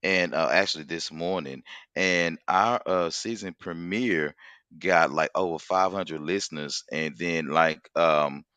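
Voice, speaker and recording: A man's voice: low-pitched, measured pace, bright, forceful tone, clear, resonant tone, no echo. A man hosting a casual podcast chat, talking in a loose, rambling way and swearing freely. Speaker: podcast host.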